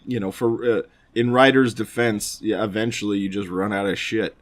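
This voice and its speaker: A man's voice: lowish voice, fairly even intonation, moderate pace. A man co-hosting a casual podcast, talking in a rambling, informal way. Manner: rambling, informal, mild swearing. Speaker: podcast co-host.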